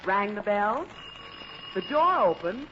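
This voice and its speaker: Animated woman narrator, old radio comedy. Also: medium pitch, slow pace. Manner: wry, playful storytelling. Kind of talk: scripted comic radio narration. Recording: muffled, noisy old radio recording.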